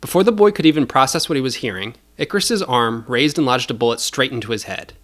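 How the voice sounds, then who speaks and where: A man's voice: mid-range voice, animated, quick pace, fairly bright tone. A man narrating scripted fiction as a storyteller, in a dramatic style.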